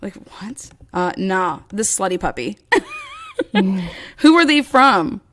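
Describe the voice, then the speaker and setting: A woman guest in an interview telling a long story in a casual, animated way and acting out quoted dialogue. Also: medium pitch, slow pace. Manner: rambling with frequent restarts.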